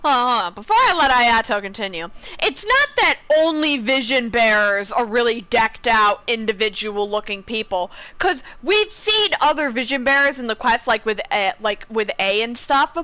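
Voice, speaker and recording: Bright, high-voiced young woman streaming. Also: expressive, normal speed, hardly any pauses, poor audio. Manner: chatty, opinionated, rambling. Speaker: game streamer. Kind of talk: casual gameplay commentary.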